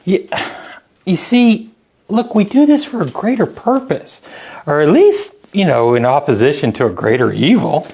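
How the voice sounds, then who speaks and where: Bossy, swooping low-voiced editor played by a woman. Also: sing-song, moderate pace, mellow. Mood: evasive, justifying. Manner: hedging, insistent, repeats herself. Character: bossy, evasive newspaper editor spinning excuses to underling. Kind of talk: satirical sketch acting.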